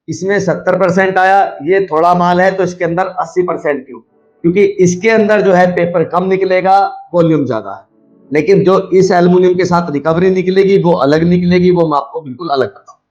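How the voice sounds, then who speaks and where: A man's voice: high-pitched, dull audio. A man giving a hands-on demonstration, explaining step by step and repeating his points as he instructs. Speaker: video host, scrap recycler.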